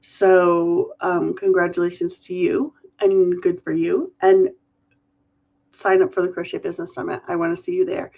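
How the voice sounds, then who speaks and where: A woman's voice: lowish voice, moderate pace, creaky, a little mellow, lo-fi sound. Nasal woman with a head cold. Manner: chatty, reads out and answers viewer questions. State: congested from a cold. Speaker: host. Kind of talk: live-stream Q&A.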